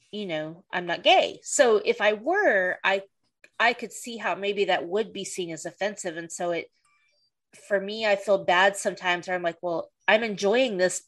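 A woman's voice: mid-range voice, a bit bright. Chatty middle-aged woman podcast host. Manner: chatty, many restarts and "you know". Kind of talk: casual chat.

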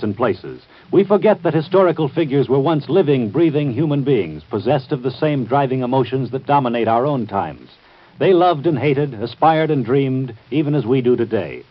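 An American man with a husky voice, reading a formal, measured introduction as an old-time radio announcer. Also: mid-range voice. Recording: muffled vintage radio broadcast.

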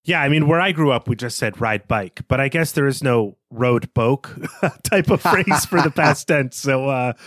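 A man's voice: medium pitch, normal speed, a bit bright, dry recording. Enthusiastic man co-hosting, dry studio-like sound. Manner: enthusiastic, informal. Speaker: podcast co-host. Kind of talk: casual literary discussion.

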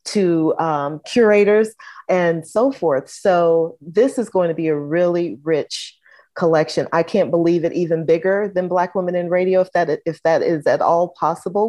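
A woman's voice: fairly low pitch, darkish tone. A woman archivist and researcher speaking enthusiastically on a panel. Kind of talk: panel discussion.

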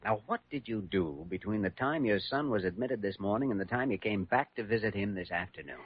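An actor playing an earnest, persistent younger doctor in classic American radio drama, speaking insistently. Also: male voice, lowish voice, brisk, husky, no room sound. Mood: probing. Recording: dull, dry old radio recording.

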